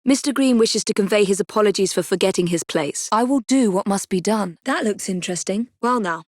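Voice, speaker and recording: An actress playing a poised, determined young Victorian woman assassin in a video game, with an English accent and crisp, formal diction. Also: mid-range voice, fairly quick, a little mellow, dry recording, clean studio sound, natural voice. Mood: composed, purposeful. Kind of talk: scripted video game voice lines.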